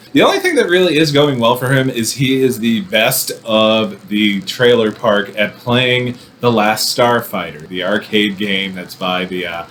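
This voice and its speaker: A man giving a casual, rambling movie review with wry, animated asides. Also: on the low side, a little hiss or hum. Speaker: film commentator.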